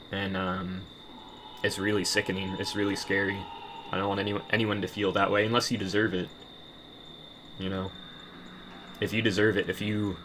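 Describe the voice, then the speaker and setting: A man's voice: lowish voice, bright, forceful tone, slight background noise. A man ranting in a casual monologue, bitter and profane, rambling with many restarts. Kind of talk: casual rant to camera.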